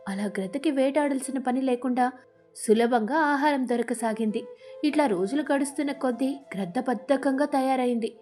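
High, mellow woman storyteller. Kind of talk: scripted storybook narration.

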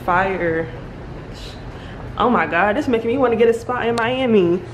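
An American woman in her twenties chatting casually and excitedly in slang as she vlogs a house tour. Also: fairly high pitch, moderate pace, muffled recording. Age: 20s. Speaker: vlogger. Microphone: camera held at arm's length. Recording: handheld camera with some background noise.

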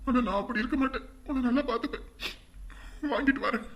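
A woman acting a husband who is a remorseful former drunkard, speaking low and subdued. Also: fast talker, a bit bright, lightly acted. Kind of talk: Tamil family skit acting.

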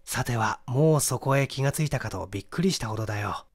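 Eager young male sleuth played by a male reader, storybook mystery, confident explaining, animated intonation. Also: mid-range voice, fast talker, husky, no echo. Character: eager, self-assured young man, amateur detective.

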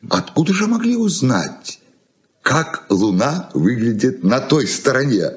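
An actor playing an eager, henpecked old uncle in a radio play, hesitant and rambling, a little plaintive. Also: male voice, mid-range voice, very animated, a little slow. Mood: curious, eager. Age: older. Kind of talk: Soviet radio play acting.

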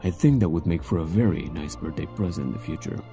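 A man voicing a stoic, loyal royal retainer in a parody game dub, with a formal, deadpan manner. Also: extremely low pitch, quick pace. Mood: loyal, dutiful. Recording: background music under voice.

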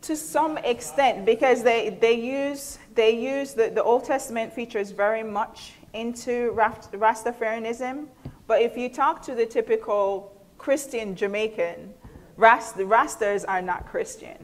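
A middle-aged woman lecturer giving a thoughtful spoken explanation to an audience, often pausing mid-phrase as she picks her words. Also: a little high. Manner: thoughtful, halting, frequent pauses mid-phrase. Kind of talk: explanatory answer to an audience question. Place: indoor hall, speaking to an audience. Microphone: clip-on lapel mic.

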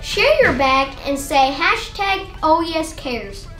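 A woman's voice: high voice, very animated, slow pace, fairly bright tone, reverberant, distant mic, soft background music. A woman narrating a school promotional video in an upbeat announcing manner.

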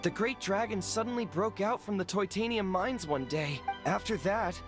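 A woman's voice: mid-range voice, moderate pace, over music, close to an everyday voice. A woman voicing an earnest, anxious toy citizen in a video game, deferential in manner. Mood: alarmed.

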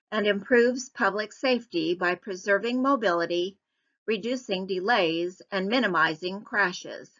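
A woman giving scripted narration for a public hearing slideshow in an even, measured reading. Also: medium pitch, muffled recording.

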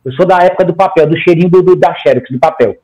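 A man's voice: fairly high pitch, fast talker, mellow, highs cut off, soft background music. A man of about forty, a guest on a video-call interview, talking emphatically in long runs. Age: 40s. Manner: emphatic, gestures a lot, talks in long runs with repeated "tá?". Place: home room. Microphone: headset on a video call.

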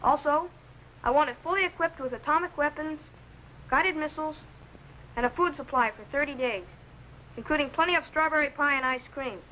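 A pompous young teenage boy in a vintage TV space serial. His voice is high and bright, carefully enunciated and full of self-importance, with faint old film noise. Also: a little fast, low-quality recording. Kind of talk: 1950s children's TV sci-fi acting.

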